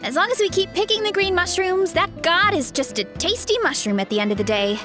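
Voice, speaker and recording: High-voiced earnest young game heroine. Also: female voice, animated, fairly quick, full character voice. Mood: earnest, shy. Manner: hesitant, earnest, softly grateful. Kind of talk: anime-style video game voice acting. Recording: music behind the voice. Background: game music.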